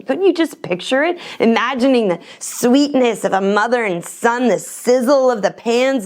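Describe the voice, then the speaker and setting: High-voiced woman coaching on storytelling. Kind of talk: instructional talk to camera with anecdotes.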